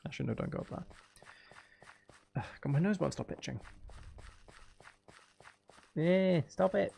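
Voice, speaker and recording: Creaky-voiced man chatting on a game stream. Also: a little high, expressive, unhurried, lo-fi sound. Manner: rambling, thinking aloud, hesitant. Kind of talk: casual live-stream chat while playing.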